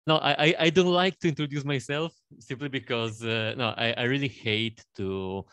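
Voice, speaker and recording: A man in his thirties with an Italian accent, introducing himself on a panel in a halting way, with many fillers and restarts. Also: mid-range voice, fairly even intonation, fairly bright tone, clean tone, dry recording. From Italy. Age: 30s. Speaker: panel guest.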